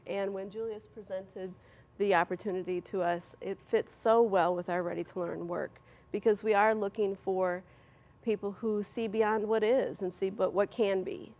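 Creaky-voiced, articulate woman. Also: medium pitch, normal speed, a little mellow. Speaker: nonprofit media representative, interview guest. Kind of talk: polished explanatory interview answers.

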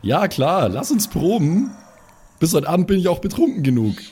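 A man's voice: high voice, very animated, normal speed, mellow, husky. A man role-playing in an improvised game, talking in a brash, irritable, sweary way. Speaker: player in a pen and paper audio show. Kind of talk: improvised in-character role play.

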